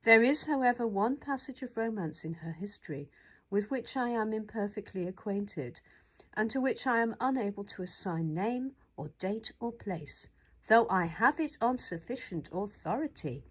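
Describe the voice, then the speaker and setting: A woman with a medium-pitched voice reads literary non-fiction aloud. Her delivery is measured and formal, in the style of audiobook narration of a memoir. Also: husky. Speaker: audiobook reader.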